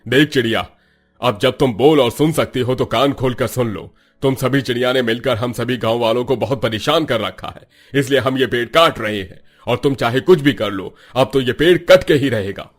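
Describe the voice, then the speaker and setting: An older village headman acted by a man talks at a medium male pitch. He is firm and paternal, with the emphatic, blunt delivery of a cartoon village elder. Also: normal speed, lightly acted. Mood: angry, resolute. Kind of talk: Hindi cartoon story voice acting.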